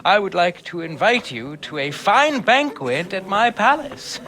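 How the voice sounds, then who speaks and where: A man's voice: sits high, expressive, measured pace, bright, forceful tone, full character voice. A man playing a haughty, easily affronted custodian in a video game, with a pompous, flowery and dismissive manner. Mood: unctuous. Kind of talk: video game cutscene acting.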